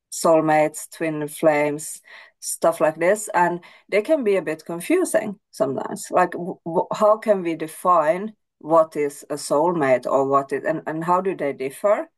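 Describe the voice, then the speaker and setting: Casting: woman guest, low voice, native Swedish speaker, measured and hesitant. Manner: thoughtful, hesitant with restarts. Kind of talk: casual interview.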